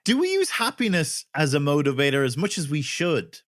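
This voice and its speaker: A man's voice: fairly high pitch, huge pitch swings, a little fast, no room sound, clean studio sound. A man hosting an interview podcast, speaking conversationally and feeling his way tentatively into his questions, repeating words as he goes.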